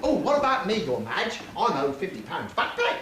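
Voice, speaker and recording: A man's voice: sits high, huge pitch swings, moderate pace, fairly bright tone, exaggerated voice. Man playing a brash British pantomime dame, swooping voice. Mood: bossy, wheedling. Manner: broad, exclamatory, plays to the audience. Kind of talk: British pantomime stage performance. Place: theatre stage, audience behind. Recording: echoey theatre hall with audience noise.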